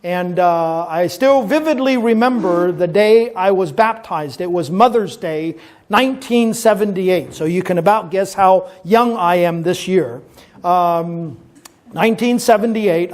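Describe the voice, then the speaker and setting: High-voiced man in his fifties, storytelling. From Taiwan. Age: 50s. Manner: relaxed storytelling with gentle jokes and frequent "uh" pauses. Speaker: pastor. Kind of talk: informal talk to a youth audience at a church event.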